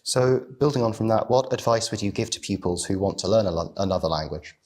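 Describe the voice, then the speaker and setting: A man's voice: on the low side, expressive, fast talker, darkish tone. Polite man asking interview questions.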